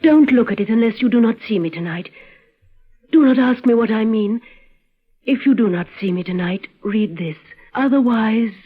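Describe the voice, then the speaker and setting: An actress playing an earnest, principled young woman in love, speaking solemnly and deliberately in a vintage radio drama. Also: fairly high pitch, expressive, slightly rough. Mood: urgent, solemn. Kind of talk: 1950s radio drama acting. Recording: muffled old radio recording, music behind.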